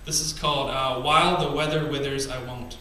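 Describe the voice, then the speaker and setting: A man in his thirties reading his poems on a small cafe stage, emphatic and rhythmic, with quiet asides between poems. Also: medium pitch, steady tone, brisk, slight background noise. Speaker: spoken word poet. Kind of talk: spoken word poetry reading. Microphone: stand mic, far camera. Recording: echoey venue, camera from far off.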